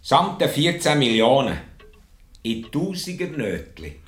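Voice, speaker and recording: Wealthy returned Swiss villager out for revenge: mid-pitched, unmoved, commanding, terse. Radio play. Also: male voice, measured pace, over music. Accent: Swiss German. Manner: curt, unmoved, gives flat orders. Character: rich, vengeful returned villager, cold, stubborn, commanding man. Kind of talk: German-language radio drama acting.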